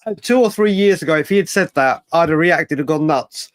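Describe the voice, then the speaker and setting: A man's voice: a little high, fairly quick. An English man hosting a football fan show, chatting in a casual, matey way and often wandering off mid-thought. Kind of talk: casual live fan debate.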